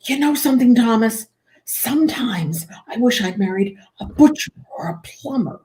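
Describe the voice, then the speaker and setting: An actress playing a gently disapproving wife and mother in a 1940s-style radio play, softly chiding. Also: on the high side, expressive, normal speed, a little hiss or hum, close to an everyday voice, low-quality recording.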